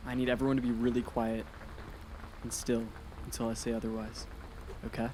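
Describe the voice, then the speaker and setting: An easygoing, level-headed teenage boy, acted by a man in a radio play. His pitch is medium, and outdoor rain noise is clearly present behind him. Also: monotone, a little fast, natural voice. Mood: tense. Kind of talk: scripted radio drama acting.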